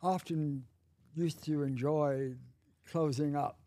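An old man in his eighties talks slowly and reflectively, with a wry, bawdy humor. His voice sits a little high for a man. The sound is dry and close. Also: fairly even intonation, dark tone, no room sound. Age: 80s. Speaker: podcast guest. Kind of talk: casual chat.

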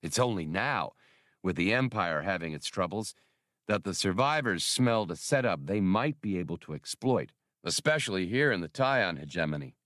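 Worldly male adventurer, read by a man. Knowing, measured, varied intonation, dry audiobook sound. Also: sits low, animated, fairly bright tone, no room sound. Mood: knowing, casual.

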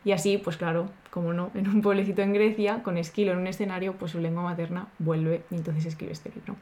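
A woman co-hosting a podcast in a lively, informal way, casually swearing as she talks. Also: lowish voice, quick pace. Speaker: podcast co-host.

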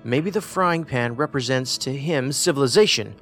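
An actor playing an analytical, aloof federal investigator in an audio drama, with a deliberate, cerebral manner. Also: male voice, mid-range voice, fairly bright tone, faint music behind, close to an everyday voice. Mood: pensive.

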